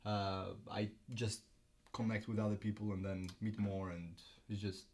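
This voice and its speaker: A man's voice: lowish voice, level tone, normal speed, darkish tone. A young man from Greece, a filmmaker and student guest, talking casually with many ums and restarts. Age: young adult. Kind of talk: casual interview.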